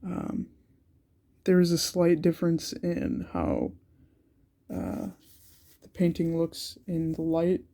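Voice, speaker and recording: A man's voice: fairly high pitch, big pitch swings, slow, vocal fry, pauses often, mellow. A young man with a cold, sounding congested, talking through his art sketch in a hesitant way with many ums and restarts. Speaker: art channel creator. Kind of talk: casual art vlog narration while sketching.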